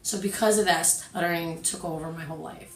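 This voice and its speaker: A woman in her twenties who stutters talks candidly, her frustration plain and her points pressed hard. Her voice sits on the low side for a woman. She sounds a little distant from the mic in a roomy, echoing space, with faint music underneath. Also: fairly even intonation, brisk, slight background noise. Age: 20s. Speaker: video co-host. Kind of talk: casual personal talk.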